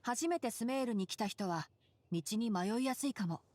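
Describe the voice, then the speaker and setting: A woman voicing a calm, thoughtful young male ranger in an anime-style game, explaining things with composure. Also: on the high side, fast, no room sound, natural voice.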